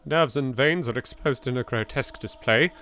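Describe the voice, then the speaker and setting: A man's voice: mid-range voice, moderate pace, bright, forceful tone, a little hiss or hum. A man with an English accent doing let's play commentary, reading game text dramatically and adding sweary, jittery, sardonic asides. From England. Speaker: video game let's player.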